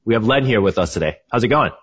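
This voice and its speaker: A man with a low, somewhat husky voice hosts a business podcast, upbeat and quick to say "awesome." He moves from a scripted opening into relaxed interview questions, heard on slightly muffled audio. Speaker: podcast host. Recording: dull lo-fi audio.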